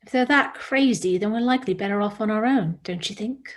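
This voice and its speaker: A woman's voice: medium pitch, fairly quick, natural voice. A woman playing a blunt, protective survivor who breaks rules, speaking directly and informally in a drama table read. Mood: protective, frank.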